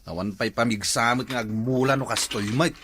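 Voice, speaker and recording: A man's voice: mid-range voice, normal speed, background noise, close to an everyday voice. A man acting as a nagging, teasing husband in a comedy skit. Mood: puzzled. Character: nagging, teasing husband working at planting crops. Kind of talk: comedy skit dialogue in Ilocano.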